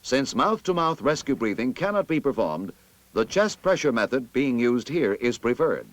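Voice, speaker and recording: An American man reads scripted narration for a vintage army training film in an authoritative, instructive manner with clear enunciation. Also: mid-range voice, big pitch swings, moderate pace.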